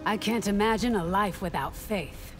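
A woman's voice: medium pitch, moderate pace, faint music behind, natural voice. An actress playing a devout, disciplined woman warrior in a video game, confident and solemn. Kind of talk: dramatic video game dialogue.

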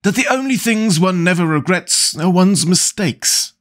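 A man voicing a witty, languid young aristocratic gentleman with an urbane, epigrammatic manner, read as an audiobook character. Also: high voice, expressive, a little slow, pitched above the performer's own voice. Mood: mocking.

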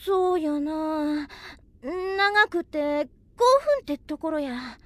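Very high-voiced plucky Osaka girl. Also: lively intonation, extremely slow, no echo, heavily acted. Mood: flustered. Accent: Kansai. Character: plucky, warm-hearted Osaka girl with grit. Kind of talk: anime drama CD acting.

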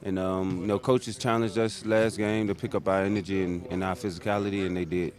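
A young man, a pro basketball player, answering reporters in a halting, laid-back way with many fillers, in an empty arena. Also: low-pitched, flat delivery. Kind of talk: media scrum answers. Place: empty arena seats, indoors. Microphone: handheld reporter mics held close.

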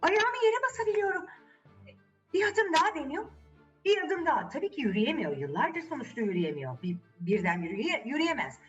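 A woman storyteller narrating a fairy tale for children in a theatrical, expressive way, addressing the young listeners directly. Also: a little high, fast, distant mic, highs cut off, faint music behind.

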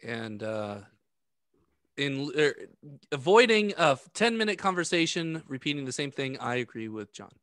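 A man in his thirties co-hosting a podcast, chatting casually in a halting, self-correcting way on a close microphone at home. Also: medium pitch, big pitch swings, a bit bright, smooth, dry recording. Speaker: podcast co-host. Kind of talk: casual conversation. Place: home room, indoors.

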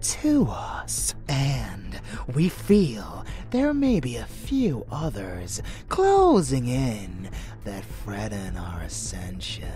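A man voicing an unhinged, seductive vampire warrior in a dark romance audio roleplay, theatrical, with breathy murmurs and sudden swells. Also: lowish voice, huge pitch swings, very slow, soft background music, exaggerated voice. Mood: grave.

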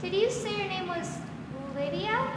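A woman's voice: very high-pitched, animated, unhurried. A woman playing a curious, earnest little girl in a 1940s-style radio drama. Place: school theater stage. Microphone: stand microphone, masked performer. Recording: very echoey noisy theater hall, distant.

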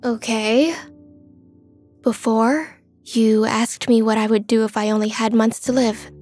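A woman voicing a quiet, earnest young woman in an amateur manga dub, speaking politely and in measured, formal phrases. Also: a little high, fairly even intonation, soft background music, natural voice. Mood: earnest, reserved.

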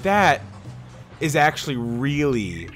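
A man giving casual, excitable let's-play commentary while he drives in a game, thinking aloud. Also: mid-range voice, slow. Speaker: gaming YouTuber. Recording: game music behind.

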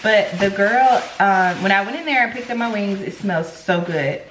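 A woman's voice: mid-range voice, moderate pace. Chatty young American woman in echoey kitchen. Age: young adult. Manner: chatty, animated storytelling with rambling asides. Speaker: lifestyle vlogger. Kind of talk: casual chat vlog. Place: home kitchen, indoors. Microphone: camera on the counter, about arm's length. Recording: echoey room, some background noise.

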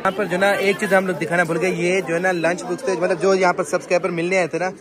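A man with a fairly high voice talks viewers through an event in a chatty, direct way. He narrates from inside a busy banquet hall. Steady crowd chatter runs underneath. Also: fast talker. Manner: addresses viewers as guys, chatty. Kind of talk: casual vlog commentary to viewers.

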